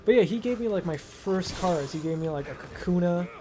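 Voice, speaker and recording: A man's voice: high voice, animated, a little fast. A young American man telling stories casually to a friend, rambling and slangy, often repeating himself. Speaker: video host. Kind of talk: casual storytelling chat with a friend.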